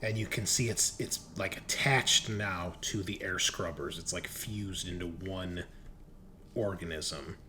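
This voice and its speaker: A man narrating a tabletop roleplaying game as game master, describing scenes in a halting, improvised way. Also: sits low, bright, forceful tone, a little hiss or hum.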